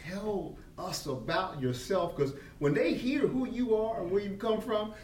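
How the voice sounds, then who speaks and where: A man's voice: high voice, a little slow, a little mellow. A man hosting a podcast interview at a convention, polite and enthusiastic, often addressing his guest as sir. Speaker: podcast host. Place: convention venue. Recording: roomy, distant mic with faint noise.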